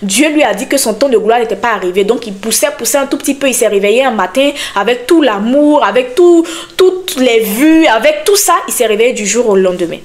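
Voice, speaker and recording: A young woman hosts a casual podcast, talking directly to her audience. Her voice sits high. She stays animated and emphatic, pressing each point home. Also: a little fast. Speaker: podcast host and content creator.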